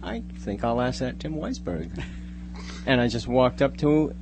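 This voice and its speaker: Halting male guest over noticeable hum. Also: medium pitch, animated. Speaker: guest, amateur scriptwriter. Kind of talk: casual interview answers. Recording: noticeable hum and background noise.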